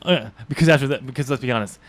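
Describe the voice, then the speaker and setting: A man's voice: mid-range voice, very fast, dry recording. A man co-hosting a podcast, casually discussing a book in an informal, chuckling way. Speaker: podcast host.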